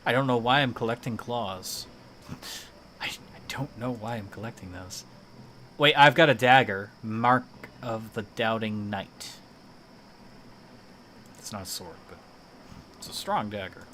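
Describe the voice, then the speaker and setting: A man's voice: fairly low pitch, unhurried, pauses often, sharp tone, slight background noise. A man chatting casually and thinking aloud as he streams a video game, in a playful manner.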